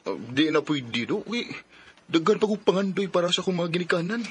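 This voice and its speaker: An actor playing a shy, lovestruck young sweetheart in a Cebuano radio drama, with a Filipino accent. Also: male voice, high-pitched, very animated, fairly quick, a bit bright, a little hiss or hum. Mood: earnest. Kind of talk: Bisaya radio drama acting.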